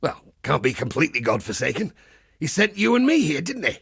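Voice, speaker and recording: A man playing a zealous, battle-hardened crusader in a video game, with a gravelly rasp and a blunt, wry, preacherly way of talking. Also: a little high, very animated, brisk, fairly bright tone, no echo, studio quality, heavily acted. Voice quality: gravelly, rasping. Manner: preacherly, blunt, wry, archaic turns of phrase. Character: zealous battle-hardened crusading holy warrior, grimly cheerful, foul-mouthed. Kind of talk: theatrical video game character dialogue.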